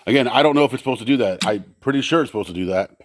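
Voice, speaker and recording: A man giving a casual, unscripted demonstration, explaining things in a halting, rambling way and often correcting himself. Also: mid-range voice, expressive, a little hiss or hum. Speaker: presenter testing vintage audio gear.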